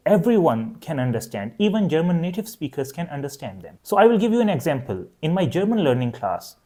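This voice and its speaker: Man, native Urdu speaker, young adult, online language teacher, clear steady explaining, slightly high voice, very lively intonation. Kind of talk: scripted online lesson.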